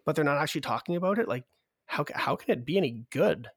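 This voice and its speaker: A man's voice: a little high, expressive, fast talker, slightly rough, dry recording, studio quality. A man co-hosting a podcast with a cold, chatting casually and walking through survey numbers in an upbeat way. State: has a cold. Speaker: podcast co-host. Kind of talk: casual chat.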